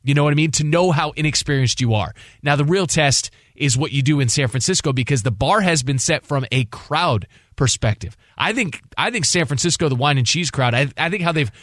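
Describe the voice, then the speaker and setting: A man's voice: fairly high pitch, animated, a little fast, few pauses, a bit bright, no room sound, studio quality. A man co-hosting sports talk radio, conversational and emphatic, often repeating words for stress.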